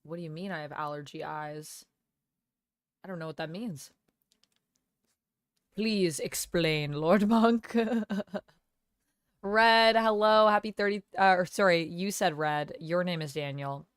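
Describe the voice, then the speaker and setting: A woman streamer under the weather with a cold, talking casually with a gravelly, smoky voice as she chats with viewers and thanks them by name. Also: lowish voice, slow pace, pauses often, no room sound, studio quality. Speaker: live streamer. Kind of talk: casual live-stream chat, reading viewer comments. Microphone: gaming headset.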